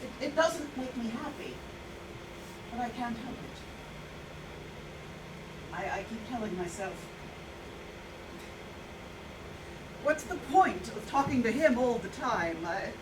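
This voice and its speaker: Actress as halting, haunted woman, roomy and distant. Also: a little high, expressive, background noise. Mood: wistful, hesitant. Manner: halting, with repeated words. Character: haunted, hesitant woman, former nurse, writing her memories. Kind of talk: staged play reading, reflective monologue. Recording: roomy, distant mic, music behind.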